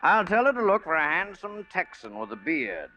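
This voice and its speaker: A man's voice: high voice, big pitch swings, normal speed, sharp tone, slight background noise, full character voice. An actor playing a knowing, avuncular man who arranges dates, in old-time radio drama style. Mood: knowing, genial. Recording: dull vintage radio recording.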